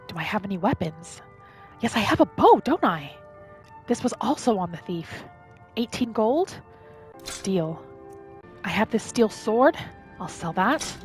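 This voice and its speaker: A woman narrating her game roleplay in character, with a gentle, musing manner as if talking to herself and her pets. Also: mid-range voice. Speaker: roleplay gaming host. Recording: music behind the voice. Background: background music.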